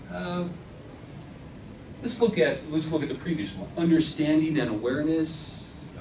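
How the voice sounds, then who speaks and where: A man leads a classroom workshop. He poses question after question and often answers them himself. He sounds far from the microphone, with a roomy echo and steady noise behind him. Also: medium pitch. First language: Spanish. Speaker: workshop instructor. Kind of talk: classroom lecture. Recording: echoey room from far off, background noise.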